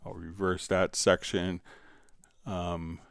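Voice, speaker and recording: A man teaches software on a livestream in a smooth, resonant voice at an unrushed, slowish pace. He thinks aloud as he goes, pausing and hesitating while he demonstrates. The sound is close and dry. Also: sits low, flat delivery, no echo. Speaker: livestream host and software instructor.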